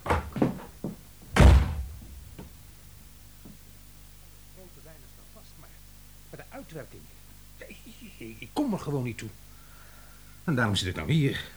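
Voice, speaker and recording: Actor as gloomy author, swinging pitch. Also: male voice, sing-song, a little hiss or hum, natural voice, rough recording. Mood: despairing. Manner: halting, gloomy. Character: despondent male author stuck with writer's block. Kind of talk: Dutch radio play acting.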